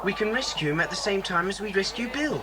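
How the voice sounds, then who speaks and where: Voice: mid-range voice, expressive, fast, very noisy, close to an everyday voice. A performer playing a confident, plucky boy in a children's adventure drama. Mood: confident, reassuring. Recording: cassette drama with loud sound effects.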